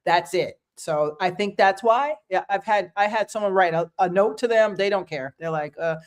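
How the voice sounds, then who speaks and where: Lady hosting a chat show, laughs a lot, lively and encouraging, medium voice. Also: medium pitch, normal speed. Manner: animated, laughs often, warm encouragement. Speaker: host. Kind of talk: casual interview chat.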